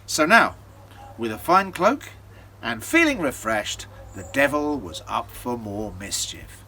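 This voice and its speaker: A man telling a folktale as a storyteller, in a theatrical, deliberate way with dramatic pauses. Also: medium pitch, big pitch swings, sharp tone, a little hiss or hum.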